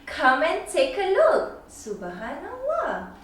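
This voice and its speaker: A mother with a Singaporean accent reading a children's picture book aloud, expressive and sing-song, with gasps. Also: high voice, slow, slight background noise. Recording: echoey room, mic at a distance.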